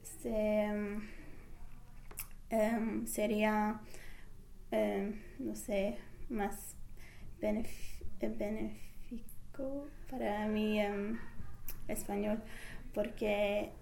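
A woman whose first language is English, answering interview questions slowly with many pauses and fillers as she searches for words. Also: medium pitch, little pitch movement, vocal fry, warm tone, a little hiss or hum, poor audio. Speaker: interviewee, language learner back from a year abroad. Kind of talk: halting, hesitant answers.

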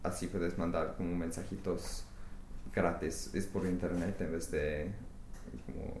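A young man whose first language is English, answering interview questions with many pauses, fillers and restarts. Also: deep voice, fairly even intonation, a little mellow. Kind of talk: research interview. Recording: echoey room, faint hiss.

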